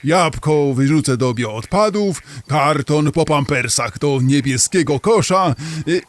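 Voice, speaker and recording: A man's voice: fairly high pitch, lively intonation, takes it slowly. A man playing a grumbling, curious waste-site worker who mutters to himself in a children's audio drama.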